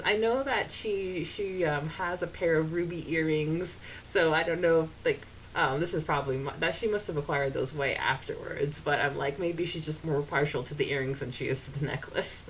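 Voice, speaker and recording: Female podcast co-host, slightly low voice, halting conversational delivery with lots of fillers, faint background noise. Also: poor audio. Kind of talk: casual podcast chat.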